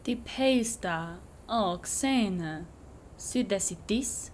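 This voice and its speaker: A woman's voice: mid-range voice, animated, slow, a little hiss or hum. A woman playing an ancient Greek Sibyl in an audio drama, declaiming solemnly in stretched-out epic speech.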